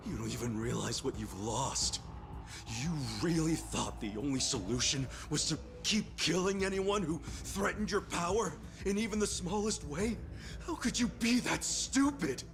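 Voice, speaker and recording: A man's voice: high-pitched, animated, normal speed, over music. A man playing a loyal, resolute man who earnestly confronts his former master in a video game cutscene. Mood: pained reproach. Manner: earnest, pleading, reproachful.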